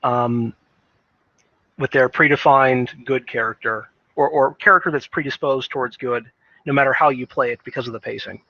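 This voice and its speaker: A man giving casual, unscripted game commentary in a rambling, halting way, often repeating words as he thinks. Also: medium pitch, steady tone, sharp tone, no room sound, highs cut off. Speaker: video game reviewer.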